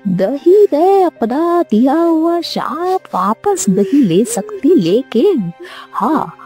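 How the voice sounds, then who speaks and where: A woman voicing an angry old village woman who lays curses, in a stern, drawn-out scolding manner for a cartoon story. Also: sits high, dark tone, voice pushed up, full character voice.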